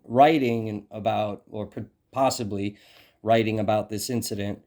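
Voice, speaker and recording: An American man working as a journalist questions an official in a halting, persistent way, often repeating words as he presses his point. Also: fairly low pitch, fairly even intonation, darkish tone. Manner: persistent, challenging. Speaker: independent journalist. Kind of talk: questioning an official in a phone interview.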